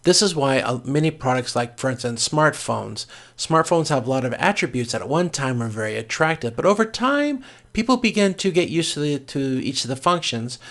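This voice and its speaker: A man's voice: medium pitch, very animated, normal speed, fairly bright tone. A man teaching as a course instructor in an informal recorded lecture, explaining step by step with everyday examples.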